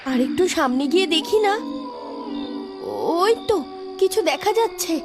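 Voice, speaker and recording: A woman voicing a curious young village girl in a horror cartoon, with slow, hesitant, wondering speech. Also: very high-pitched, expressive, music in the background, pitched above the performer's own voice. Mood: curious, uneasy.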